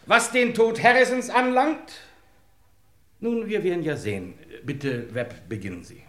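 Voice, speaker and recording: An actor playing a commanding, self-assured chief inspector in a German radio crime play, declamatory and pointed. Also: male voice, sits high, unhurried, fairly bright tone, reverberant.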